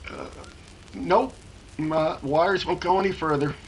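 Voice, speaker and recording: A man playing a nervous, stammering radio sound engineer in a 1930s-style mystery drama, defensive and husky. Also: fairly high pitch, slow pace, very noisy. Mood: casual.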